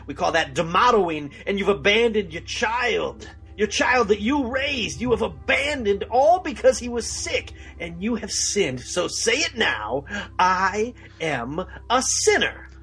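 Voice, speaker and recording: Man acting a creaky, high, taunting preacher. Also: very animated, pushed and bright, soft background music, full character voice. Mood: sternly righteous. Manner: slow, theatrical, domineering. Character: fervent, taunting male preacher demanding a sinner's confession. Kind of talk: movie-parody sketch acting.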